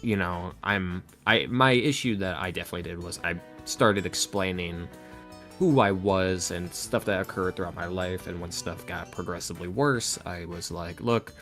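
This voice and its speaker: A man with a very low voice talks casually as an interview guest. He sounds reflective and hesitant, rambling with self-deprecating candor, and faint music plays behind him. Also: moderate pace, a bit bright. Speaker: podcast guest, ASMR roleplay audio creator.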